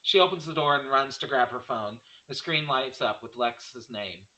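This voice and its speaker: A man's voice: medium pitch, normal speed, pushed and bright, rough recording. A man narrating stage directions from a script at a virtual table read.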